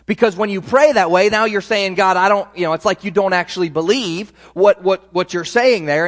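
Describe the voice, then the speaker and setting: A man's voice: high voice, fairly bright tone, in a large hall. A man preaching a sermon as pastor, talking in a conversational way with frequent restarts and asides.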